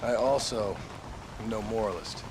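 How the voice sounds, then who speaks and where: A man's voice: lowish voice, normal speed, background noise, close to an everyday voice. A man playing a laconic, courteous American gunslinger with a dry manner and American accent in a video game. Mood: dry, probing. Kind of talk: video game character acting.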